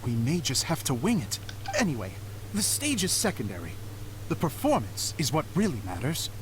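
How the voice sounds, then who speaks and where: An actor voicing an earnest male theater director in a game, speaking in a gently theatrical storytelling manner. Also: a little high, huge pitch swings, moderate pace, noisy background. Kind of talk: game voice acting. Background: game music.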